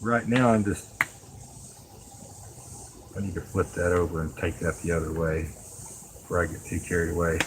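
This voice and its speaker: A man's voice: low voice, fairly even intonation, moderate pace, long pauses, slight background noise, rough recording. A middle-aged man chatting casually and folksily to live stream viewers as he works with his hands, rambling along as he talks to them directly. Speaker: live streamer and knife maker. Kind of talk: casual live stream chat while working.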